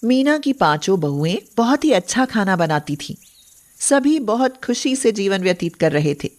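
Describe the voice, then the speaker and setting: A woman's voice: mid-range voice, very animated, normal speed, a little mellow. A woman narrating a scripted story as the storyteller.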